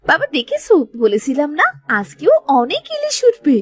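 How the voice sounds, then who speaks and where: A woman's voice: high-pitched, wildly swooping pitch, normal speed, fairly bright tone, exaggerated voice. A woman voicing a principled young fisherman's daughter in a cartoon, earnest and devoted. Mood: excited.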